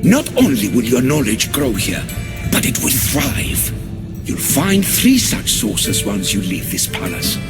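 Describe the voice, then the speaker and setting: A man's voice: mid-range voice, huge pitch swings, unhurried. An actor playing a proud, commanding god-king in a video game, speaking in a formal, authoritative manner. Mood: stately, assured. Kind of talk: dramatic video game acting. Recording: loud music behind the voice.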